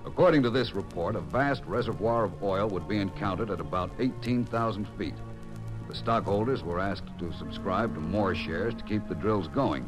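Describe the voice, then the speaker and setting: A man with an American accent narrating a vintage radio crime drama as a formal, measured official report. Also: lowish voice, natural voice, rough recording. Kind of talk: 1950s radio documentary-style scripted narration. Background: music.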